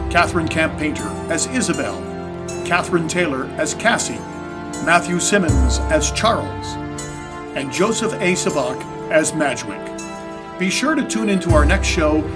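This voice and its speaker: Male announcer, scripted credits read, fairly high pitch, prominent loud music bed. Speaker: announcer and director.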